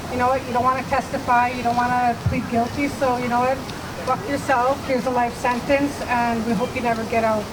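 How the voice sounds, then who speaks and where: A woman in her forties from Manitoba, giving a hesitant, nervous personal speech with many fillers to a rally crowd. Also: fairly high pitch. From Manitoba, Canada. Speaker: rally speaker. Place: outdoor rally. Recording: noisy outdoor recording with traffic.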